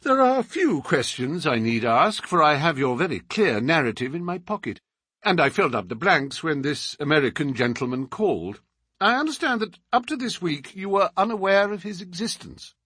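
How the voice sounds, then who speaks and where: A man's voice: on the high side, very animated, normal speed, bright, forceful tone, breathy edge, no room sound, pitched above the performer's own voice, exaggerated voice. Man voicing a detective, cool, precise, inquiring, dry close sound. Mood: probing. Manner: precise, questioning. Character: cool, probing adult male detective. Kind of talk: audiobook character reading.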